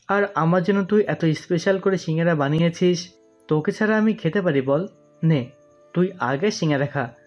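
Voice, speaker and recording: A man acts a sly young tease in a family audio drama. His voice sits a little high for a male, and the recording is dull and muffled. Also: close to an everyday voice. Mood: mock sweet.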